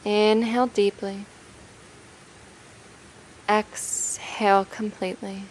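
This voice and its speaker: A woman narrating a guided meditation in a gentle, soothing, unhurried way, leaving long pauses between phrases. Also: medium pitch, flat delivery, slight background noise, poor audio.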